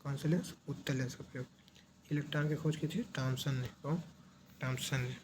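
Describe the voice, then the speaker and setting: A man with a medium-pitched voice teaches as an exam coach. He poses short questions and then repeats the answers in a didactic way. There is clear noise behind his voice. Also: fairly even intonation, fairly quick.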